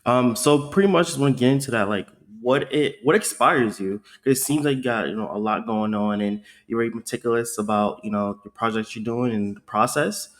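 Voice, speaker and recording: Brief male podcast host, mid-pitched, casual, slangy, halting delivery. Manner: loose, slangy, halting questions.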